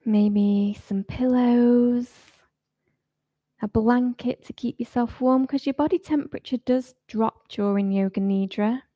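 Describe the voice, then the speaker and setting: A woman teaching yoga nidra, leading a guided relaxation in a soft, soothing, informal way. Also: fairly high pitch, fairly even intonation, a little slow, no room sound, dull audio.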